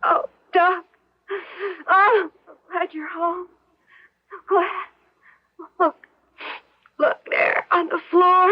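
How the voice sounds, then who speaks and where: An actress playing an anxious, shaken wife in an old-time radio mystery drama. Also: female voice, extremely high pitch, little pitch movement, extremely slow, pauses often, heavily acted. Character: anxious, shaken wife, affectionate toward her husband. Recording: dull old radio recording.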